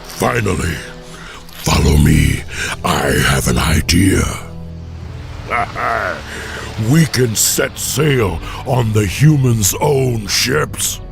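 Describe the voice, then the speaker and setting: A man playing a proud, battle-worn orc chieftain in a video game, with a deep, gravelly voice. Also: expressive, drawn-out words, music in the background, full character voice. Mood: eager. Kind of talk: video game cinematic acting.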